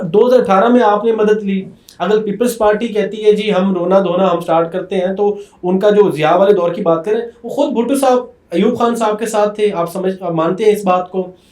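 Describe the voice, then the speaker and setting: A man's voice: high-pitched, darkish tone, echoey room. A man with a Pakistani accent conducting a political interview, putting long, probing questions.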